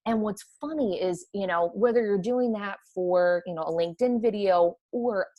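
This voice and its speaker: A woman's voice: mid-range voice, highs cut off. A woman with a Midwestern American accent, chatting energetically as a podcast guest. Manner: energetic, chatty, quick to laugh. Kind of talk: casual conversation.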